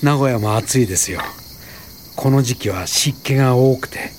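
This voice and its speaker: An actor playing a gentle, earnest Buddhist priest in a radio drama, speaking formally and politely without hurry. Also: male voice, mid-range voice, moderate pace, a little hiss or hum, natural voice. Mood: courteous.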